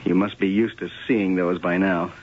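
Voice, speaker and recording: A man's voice: sits low, steady tone, moderate pace, slight background noise, lightly acted. An actor playing a determined male police lieutenant in an old radio drama, speaking in a firm, insistent way. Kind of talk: 1970s radio drama acting. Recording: dull old radio broadcast.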